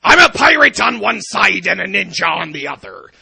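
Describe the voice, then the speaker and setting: A man's voice: high-pitched, sing-song, normal speed, vocal fry, bright, forceful tone, husky, heavily acted. A man voicing a cocky, drunken pirate merchant in pirate slang for a comic video game parody. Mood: teasing, boastful. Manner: pirate slang, growled arrs.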